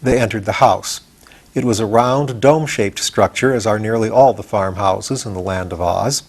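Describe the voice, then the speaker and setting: A man, reading a children's story aloud as expressive audiobook narration. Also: lowish voice, normal speed, slightly rough. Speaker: audiobook reader.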